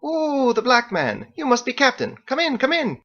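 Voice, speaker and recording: A man's voice: very high-pitched, normal speed, muffled recording, voice pushed up, full character voice. A man voicing a gushing, eager woman who welcomes a guest in an audiobook. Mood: delighted welcome. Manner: gushing, excited. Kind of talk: character voice in audiobook narration.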